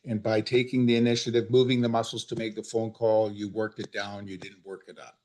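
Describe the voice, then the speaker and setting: A man acting as the helper in an unscripted peer-support call, coaching in a gentle, hesitant way. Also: lowish voice, level tone, brisk.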